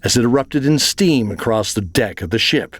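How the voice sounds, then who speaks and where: A man's voice: medium pitch, lively intonation, moderate pace, no room sound. A man narrating a scripted fantasy adventure story as the narrator of an audio drama.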